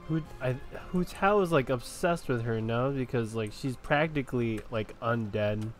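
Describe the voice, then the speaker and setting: A man's voice: medium pitch, moderate pace, faint music behind. A man giving casual commentary over gameplay as a streamer. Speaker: game streamer.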